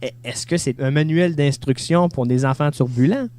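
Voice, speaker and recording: Higher-pitched Quebec French male interviewer. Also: normal speed, a little mellow. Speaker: radio interviewer. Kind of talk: casual interview questions. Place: radio studio.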